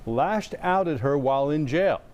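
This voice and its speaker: A man's voice: a little high, expressive, measured pace, a little mellow. An American man reading a scripted news introduction as a TV anchor.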